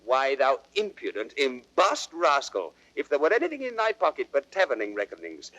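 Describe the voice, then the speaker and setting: Spirited young prince, widely ranging voice. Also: male voice, mid-range voice, huge pitch swings, normal speed, a bit bright, no echo. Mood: mock scolding. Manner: theatrical, declamatory. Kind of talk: old radio Shakespeare acting. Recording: dry, muffled vintage recording.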